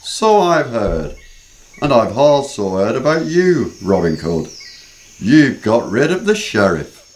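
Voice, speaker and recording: A man's voice: medium pitch, sing-song, slow, low-quality recording. An amateur actor playing a courteous, dignified king in a radio play. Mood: regal. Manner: stately, courteous. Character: gracious male king, dignified. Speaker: King Richard.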